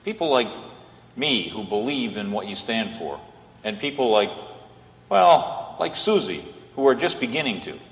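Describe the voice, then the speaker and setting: Casting: loyal, persuasive lawyer for radio play; mid-range male voice, lively inflection, echoey hall. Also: mid-range voice, a little slow. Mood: urgent, earnest. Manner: earnest, persuasive. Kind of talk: old-time radio play acting. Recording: echoey hall with long reverb.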